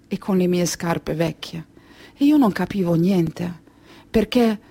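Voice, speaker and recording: A woman performing a theatre monologue as a grave, wistful Kurdish refugee, speaking in deliberate, halting phrases. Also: medium pitch, a little mellow. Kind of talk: slow theatrical monologue storytelling.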